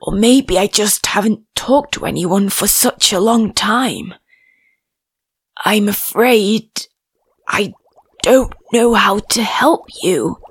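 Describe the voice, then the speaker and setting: A woman voicing a huge, ancient, kindly male fish who talks slowly in a deeper voice. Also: animated, husky, no echo, full character voice. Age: older. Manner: slow, deep, drawn-out.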